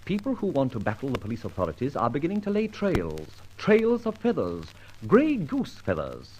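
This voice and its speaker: An actor playing a dashing, flippant gentleman adventurer in an old-time radio detective drama, with a theatrical, wry and wordy manner. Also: medium pitch, wildly swooping pitch, normal speed, a little hiss or hum, poor audio. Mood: wry, indignant.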